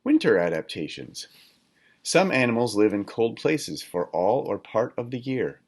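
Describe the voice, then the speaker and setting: A man reading a children's nonfiction book aloud in a deliberate, instructional manner, like a teacher. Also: medium pitch, very animated, a little mellow. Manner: deliberate, instructional, poses questions to listeners. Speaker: read-aloud reader, teacher.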